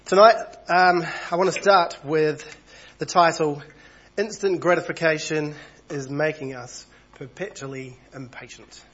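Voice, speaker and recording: A man over thirty-five, husky from a cold, preaching an evening church sermon in a conversational way and reading passages aloud. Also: on the high side, unhurried, a bit bright, dull audio. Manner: conversational, reads passages aloud, small asides.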